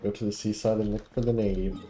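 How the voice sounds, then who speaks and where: A man's voice: on the low side, level tone, brisk, warm tone, reverberant, a little hiss or hum. A man giving casual game playthrough commentary, with offhand reactions and dialogue read aloud. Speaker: gaming content creator. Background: game music.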